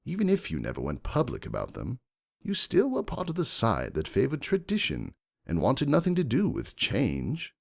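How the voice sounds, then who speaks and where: A man playing a self-assured, wealthy gentleman stallion who argues emphatically and cuttingly in a dramatic fan fiction reading. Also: medium pitch, huge pitch swings, normal speed, vocal fry, voice pushed up, full character voice.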